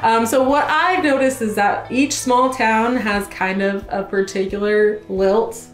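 A woman's voice: fairly high pitch, steady tone, measured pace, reverberant, low-quality recording. A woman in her thirties from Oklahoma with an Oklahoma accent, chatting casually as a video host and laughing often. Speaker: real estate video host. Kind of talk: casual chat.

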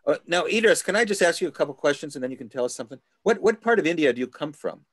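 A man leading a study group over a video call, asking questions in a halting way with many restarts. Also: fairly high pitch, expressive, husky, no room sound, studio quality. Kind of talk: casual group discussion.

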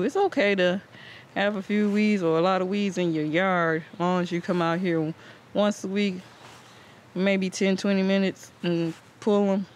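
A man giving a casual, rambling, conversational garden tour as host, recorded outdoors on a handheld camera. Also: high voice. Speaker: host of a home gardening channel. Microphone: handheld camera microphone. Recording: outdoor ambient noise on camera mic.

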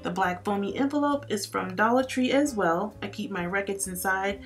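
A woman's voice: medium pitch, normal speed, soft background music. A woman vlogger chatting upbeat to her viewers in a voice-over tour. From Florida. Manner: chatty and upbeat, addressing viewers as you guys. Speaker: lifestyle vlogger.

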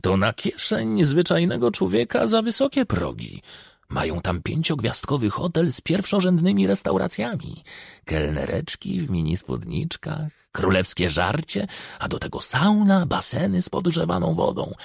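A man reading an audiobook acts a self-important village official. He is sly and colloquial, with a creaky voice that leaps high and swings about emphatically. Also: huge pitch swings, moderate pace, no echo, clean studio sound, exaggerated voice. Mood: boastful.

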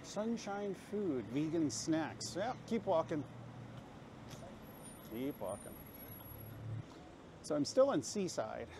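A man's voice: fairly high pitch, big pitch swings, slow pace, pauses often. A man talking casually to a camera as a travel vlogger walking on a busy street, rambling in a self-mocking way. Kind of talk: casual walk-and-talk narration to camera. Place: busy city street, outdoors. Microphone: handheld camera while walking. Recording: clear street and traffic noise.

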